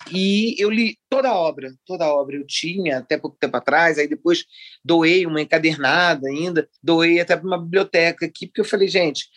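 A woman in her sixties from Rio de Janeiro, a writer chatting as a guest in an interview, talking in long digressions and laughing often. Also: on the low side. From Rio de Janeiro, Brazil. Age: 60s. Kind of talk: casual interview chat.

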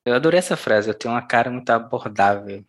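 A woman interviewing an author, relaxed and amused, chatting casually. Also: very deep voice, quick pace.

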